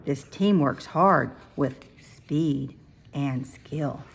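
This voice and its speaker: A woman reading a rhyming picture book aloud for young children, with expressive, sing-song, deliberate phrasing. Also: low voice, gravelly, breathy edge. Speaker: children's storybook reader.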